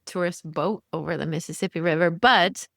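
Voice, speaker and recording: A woman's voice: on the low side, normal speed, creaky, a bit bright, no echo, clean studio sound. Earnest woman co-host from Venezuela. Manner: reflective, earnest. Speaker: podcast co-host. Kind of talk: conversational interview.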